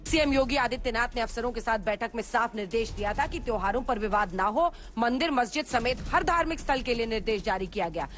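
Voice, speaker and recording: A woman's voice: fairly high pitch, lively intonation, fairly quick, few pauses, a bit bright. A woman reading scripted news narration in an emphatic, dramatic way as a reporter. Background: background music.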